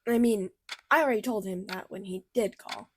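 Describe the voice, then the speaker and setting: A woman voicing a bubbly, caring female cartoon character in a radio play, chatty, motherly and expressive. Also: mid-range voice, a little fast, slight background noise, lightly acted. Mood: awkward. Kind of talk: fictional radio theater acting.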